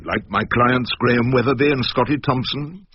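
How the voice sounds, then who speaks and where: An actor playing a wry elderly English barrister, narrating his own story in a dry, measured, literary way for radio drama. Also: mid-range voice, breathy edge, dry recording, lo-fi sound, close to an everyday voice.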